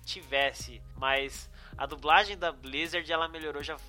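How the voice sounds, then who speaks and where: A man's voice: on the high side, steady tone, a little slow, pushed and bright, soft background music. A man with a Brazilian Portuguese accent chatting enthusiastically about games, full of casual slang. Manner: enthusiastic, uses slang like mano and cara. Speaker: gaming podcast co-host. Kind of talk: casual chat.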